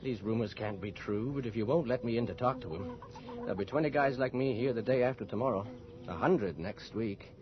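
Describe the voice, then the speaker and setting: An actor playing a courteous, earnest visiting reporter in a radio drama, hesitant but persistent. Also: male voice, mid-range voice, brisk, crowd noise, close to an everyday voice. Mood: earnest persuasion. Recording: muffled old radio drama, background sounds.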